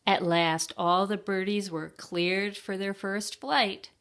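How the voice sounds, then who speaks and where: Slow-talking woman reading a children's storybook. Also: mid-range voice, clear, resonant tone. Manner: expressive, pauses to ask the children questions. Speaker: library storytime reader. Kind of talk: reading a picture book aloud to young children.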